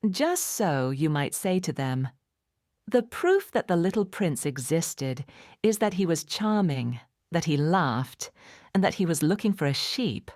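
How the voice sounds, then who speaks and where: A woman narrating a children's storybook in a measured, clearly phrased, expressive bedtime-story manner. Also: deep voice, no room sound, studio quality. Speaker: audiobook narrator.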